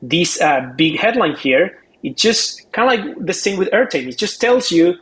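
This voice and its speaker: Male expert co-host, slightly high voice, casual explainer who repeats words while thinking aloud. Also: big pitch swings, normal speed, a bit bright.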